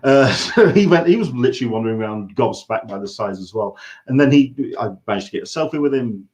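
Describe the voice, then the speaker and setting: A man's voice: medium pitch, animated, quick pace, a little mellow. Rambling English man hosting a chat. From England. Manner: rambling, anecdotal. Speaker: host interviewer. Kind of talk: casual livestream interview chat.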